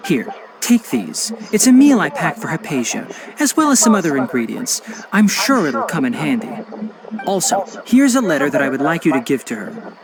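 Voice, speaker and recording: A man playing an earnest, courteous guardian from an old family in a game, speaking in a formal, measured, articulate way. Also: on the high side, huge pitch swings, a crowd in the background, rough recording. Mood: polite, grateful. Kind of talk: scripted game dialogue.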